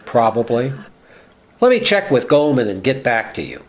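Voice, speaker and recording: A man's voice: medium pitch, sing-song, moderate pace, close to an everyday voice. A man playing a forgetful, genial law-firm boss in a radio sitcom, hesitating and repeating himself. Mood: befuddled, genial. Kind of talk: sitcom-style radio play dialogue.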